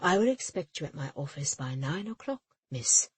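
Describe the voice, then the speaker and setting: A self-important English port official, clipped and fussy, barking his complaints, as a woman puts him on for a storybook. His voice pushes loud and indignant, with a husky rasp to the tone. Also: a little high, a little slow, no echo, pitched below the performer's own voice, full character voice. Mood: blustering, indignant. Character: pompous, blustering older male port official.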